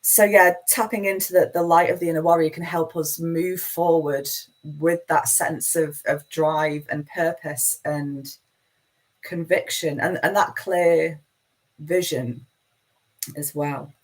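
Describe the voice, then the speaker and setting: A woman's voice: low-pitched, fairly even intonation, slow pace, poor audio. A woman with a Manchester accent giving a meandering, self-deprecating spiritual talk as host of a live session. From Manchester, England.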